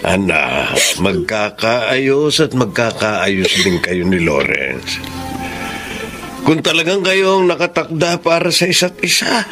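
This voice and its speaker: A man's voice: mid-range voice, expressive, slow pace, over music. An actor playing a concerned middle-aged father, earnest and admonishing, in a Filipino radio drama. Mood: concerned, advising. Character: concerned, counselling father of grown daughter.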